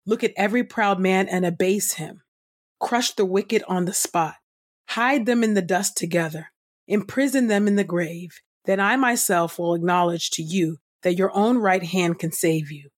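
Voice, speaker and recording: A woman host reading scripture aloud from a script in a measured, solemn, clearly enunciated way. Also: lowish voice. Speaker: podcast host and scripture reader. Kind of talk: scripted audio Bible reading.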